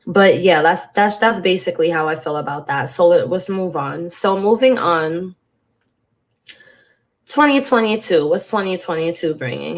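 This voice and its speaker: A woman vlogger with a congested, stuffy voice, talking frankly and emotionally to camera and swearing casually. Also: fairly low pitch. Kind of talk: candid talk to camera.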